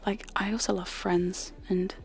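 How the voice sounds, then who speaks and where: A woman's voice: mid-range voice, little pitch movement, a little slow, vocal fry, music in the background. A young woman whispering close to sensitive microphones in a casual, giggly ASMR chat with trigger sounds, often poking fun at herself. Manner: soft whisper, giggly and self-deprecating. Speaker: ASMR streamer.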